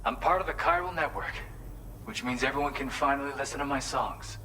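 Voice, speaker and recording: A man's voice: mid-range voice, a little fast, lightly acted, poor audio. An actor playing a friendly, upbeat man in a video game, talking in a casual, welcoming way. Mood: marvelling. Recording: loud ambient noise behind.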